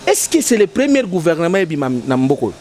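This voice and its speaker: Lively, high-pitched man arguing politics. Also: brisk. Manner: emphatic, repetitive, switching between French and Lingala. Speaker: political commentator. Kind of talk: heated political debate.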